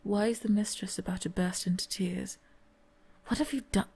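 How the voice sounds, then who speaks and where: A woman's voice: mid-range voice, steady tone, normal speed, creaky, darkish tone, breathy edge, no echo. A woman voicing a cold, stern servant who speaks curtly and demandingly. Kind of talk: ASMR roleplay acting.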